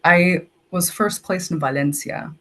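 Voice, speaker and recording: A woman's voice: lowish voice, unhurried, pushed and bright. Chatty young woman from Texas. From Texas, United States. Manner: chatty, uses "like" often, storytelling. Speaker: guest, English language assistant. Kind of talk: casual interview.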